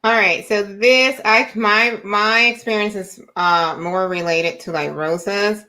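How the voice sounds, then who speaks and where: A woman sharing personal experience on a panel, speaking conversationally and pausing often as she goes. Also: mid-range voice, fairly even intonation, bright, forceful tone. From United States, with time in Puerto Rico and the Dominican Republic. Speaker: teacher on a discussion panel.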